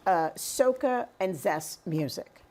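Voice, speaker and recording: A woman's voice: lowish voice, expressive, slow pace, darkish tone. A woman hosting a TV interview, asking questions and giving frequent short affirmations. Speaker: TV show host. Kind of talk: interviewing a guest. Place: TV studio.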